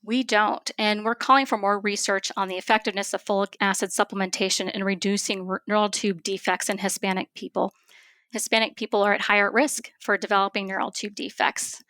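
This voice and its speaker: A woman speaking as an expert physician guest in an interview, explaining things in a measured, formal and precise way. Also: mid-range voice, fairly even intonation, bright, forceful tone, dry recording.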